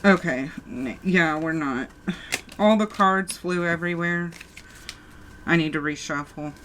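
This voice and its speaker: A woman's voice: on the low side, unhurried, background noise. A woman with a sore throat giving a casual tarot reading, talking straight to her viewers and often restarting her sentences. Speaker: tarot reader.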